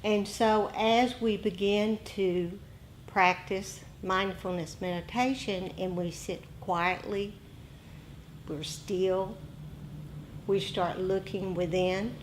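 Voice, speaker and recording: A woman teaches meditation in a dharma talk. She speaks very slowly, in short deliberate phrases with pauses. There is steady background noise in the recording. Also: mid-range voice, poor audio.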